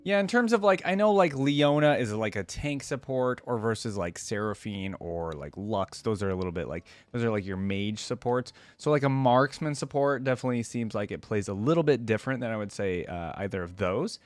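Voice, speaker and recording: A man's voice: on the low side, lively intonation, brisk, hardly any pauses, dry recording. Casual man reacting in a dry room. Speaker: reaction video host.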